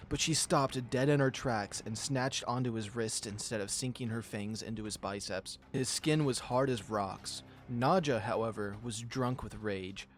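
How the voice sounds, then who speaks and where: Mid-pitched man narrating a story. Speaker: visual novel reader and channel host. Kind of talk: dramatic storytelling narration with casual commentary.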